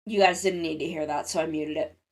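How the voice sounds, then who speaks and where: A woman's voice: sits low, fairly even intonation, brisk, darkish tone. Congested, sniffly woman chatting on livestream. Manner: rambling, self-interrupting, sweary. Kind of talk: casual livestream chat.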